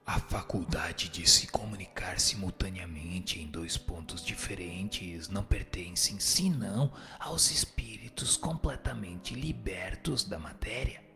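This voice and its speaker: A man playing a solemn spirit instructor in a scripted radio drama, answering in a measured, didactic, declamatory way. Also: sits low, expressive, vocal fry, full character voice. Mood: solemn, instructive. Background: music.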